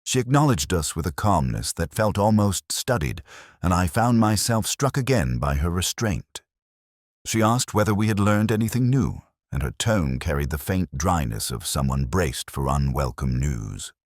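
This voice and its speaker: Very deep-voiced man narrating a detective mystery. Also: lively intonation, dry recording, studio quality. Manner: measured, formal, literary storytelling. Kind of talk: scripted first-person detective mystery narration.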